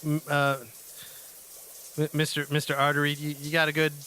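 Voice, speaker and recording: A man improvising as a player on a tabletop game podcast, stammering and halting as he narrates and speaks in character. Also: a little high, monotone, sharp tone, a little hiss or hum.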